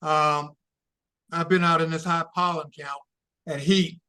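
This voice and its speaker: A man who is losing his voice gives slow, deliberate travel advice as a consultant, checking in with "okay?" and "all right?". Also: a little high, steady tone, sharp tone, clear, resonant tone. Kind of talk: casual advice talk.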